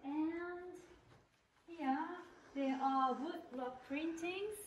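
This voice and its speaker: A woman slowly guiding a walking tour through a large indoor craft shop, admiring the goods as she goes. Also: sits high, recorded from a distance, muffled recording, a little hiss or hum. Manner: slow, careful, admiring. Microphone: camera at a distance. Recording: roomy, from off-mic, music behind.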